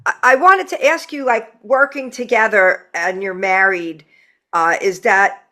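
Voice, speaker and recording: Female podcast host, bright forward voice, chatty and enthusiastic, informal interviewing style. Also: medium pitch, measured pace. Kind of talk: casual conversational interview.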